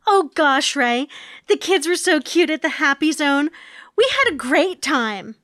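A woman acts out a sitcom wife and mother at a table read. Her voice is high and animated with a sarcastic edge, recorded dry. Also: unhurried, dry recording, full character voice. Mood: animated, fond.

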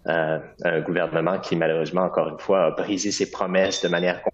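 Deep husky Québécois man, muffled line. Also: little pitch movement, fairly quick. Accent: Québécois French. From Quebec. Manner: earnest, many hesitations and restarts. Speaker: political candidate. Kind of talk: interview answers, political talking points.

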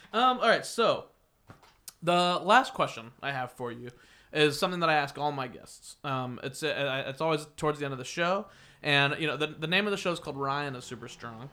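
Loud, laughing male podcast host. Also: fairly high pitch, expressive, moderate pace, soft background music. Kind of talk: casual interview chat.

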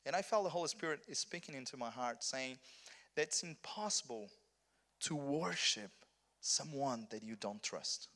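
A man's voice: medium pitch, animated, normal speed, bright, forceful tone. Brazilian man preaching earnestly. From southern Brazil. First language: Portuguese. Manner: earnest, many restarts and repeated words. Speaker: pastor. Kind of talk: conference sermon.

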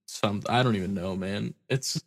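A man's voice: fairly low pitch, normal speed, creaky. A man co-hosting a podcast in casual chat, stuffy-nosed from a cold, tired and rambling, swearing casually. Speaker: podcast co-host.

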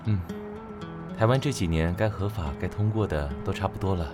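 An actor playing a gentle, helpful and discreet young man in an audio drama. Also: low voice, brisk, loud music behind the voice, natural voice. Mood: calm.

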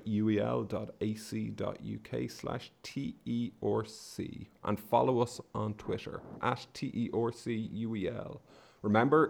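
A man's voice: fairly low pitch, gravelly. A man hosting a research podcast, reading a scripted introduction of his guest with small stumbles. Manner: reads intro, slight stumbles. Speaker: podcast host.

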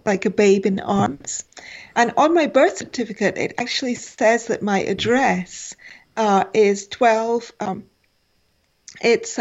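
A woman's voice: a little high, dull audio. A woman in her forties, a guest in an interview, speaking with many fillers and restarts. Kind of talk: conversational interview.

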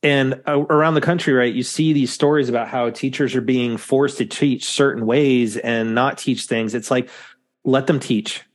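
A man's voice: medium pitch, steady tone, moderate pace. Male podcast host with a mid-range voice, easygoing and rambling, full of ums. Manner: rambling, lots of fillers. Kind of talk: casual chat.

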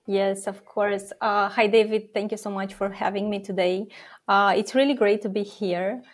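A woman's voice: medium pitch, fairly even intonation, moderate pace. Woman explaining earnestly with fillers. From Romania. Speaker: startup co-founder and product officer guest. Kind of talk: interview answers.

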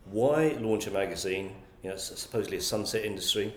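Man with a lowish voice, roomy sound. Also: fairly quick, a little hiss or hum. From England. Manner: thoughtful, frequent restarts and repeated words. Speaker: guest, magazine publisher. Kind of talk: conversational interview answers.

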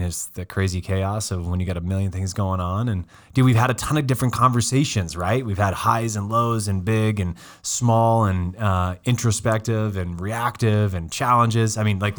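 Male podcast host, very low and a bit rough from a cough, loose and jokey. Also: normal speed, hardly any pauses. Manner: jokey, rambling, self-mocking. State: voice deeper than usual after a cough. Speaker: podcast host, coach and CEO. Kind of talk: casual podcast chat.

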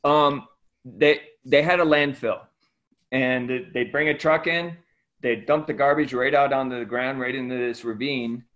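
Middle-aged man, mid-pitched, conversational storyteller as interview guest, slightly dull lo-fi recording. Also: steady tone, unhurried, a bit bright. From Ecuador, raised by missionary parents. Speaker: interview guest, missionary aid worker.

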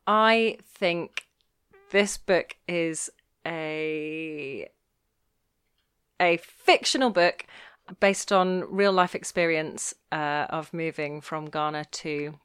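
A woman's voice: low-pitched, extremely slow, long pauses, fairly bright tone, clear, resonant tone. A woman chatting casually as a podcast co-host in a small homemade studio.

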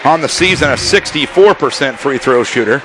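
A man calling live basketball play-by-play for radio, firing off rapid calls that rise to shouts on big plays, in a gym full of people. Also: mid-range voice, animated. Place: high school gym with a crowd. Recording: loud crowd and gym noise. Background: crowd and gym noise.

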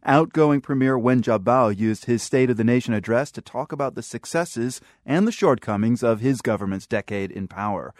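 A man's voice: medium pitch, moderate pace, few pauses, no room sound, studio quality. A man reading a scripted news introduction as a radio host.

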